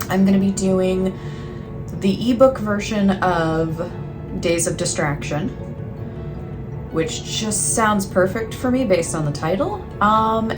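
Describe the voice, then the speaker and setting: A woman chatting casually to camera as a book vlogger, in a rambling, self-deprecating way, often trailing off mid-thought. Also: medium pitch. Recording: clearly noisy, ambient background sound.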